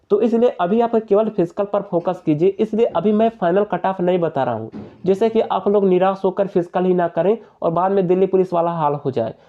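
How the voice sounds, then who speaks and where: Young male explainer, high-pitched, persuasive and repetitive, on a dull narrow recording. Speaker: exam-prep YouTuber. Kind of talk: informal explainer addressing viewers.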